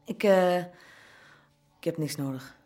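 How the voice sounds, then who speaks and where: A man's voice: on the high side, takes it slowly, a little mellow, soft background music. Hesitant, nervous cornered man. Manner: hesitant, stammering. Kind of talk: naturalistic Dutch radio drama acting.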